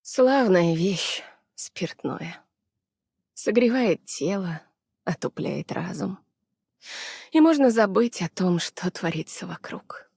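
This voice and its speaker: An actress voicing a weary, anxious young woman scientist from the frozen north in a game dub, soft and hesitant. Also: on the low side, expressive, slow, long pauses, no room sound, clean studio sound, full character voice. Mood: melancholy, weary. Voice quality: soft, husky, breathy. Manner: hesitant, subdued, apologetic. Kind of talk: Russian dub of game character voice lines.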